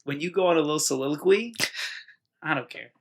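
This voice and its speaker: A man's voice: a little high, brisk. A man co-hosting a casual podcast, talking in a playful, rambling way. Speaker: podcast co-host. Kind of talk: casual chat.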